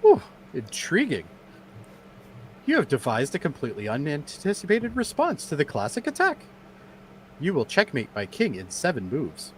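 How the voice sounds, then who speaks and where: A man's voice: fairly high pitch, wildly swooping pitch, moderate pace, a little hiss or hum. A man playing a courteous, analytical android officer in an amateur radio drama, speaking in formal, precise phrases. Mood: intrigued. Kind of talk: amateur radio theatre reenactment.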